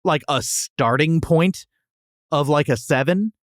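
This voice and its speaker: A man's voice: a little high, takes it slowly, no room sound, clean studio sound. A man hosting a casual, comedic podcast, trading banter as he reviews restaurants.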